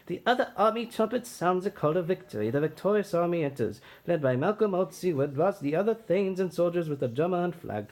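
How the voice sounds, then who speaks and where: Man, highish voice, animated intonation, theatrical, dramatic play read-aloud. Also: fairly quick, hardly any pauses. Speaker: performer reading all parts of a play.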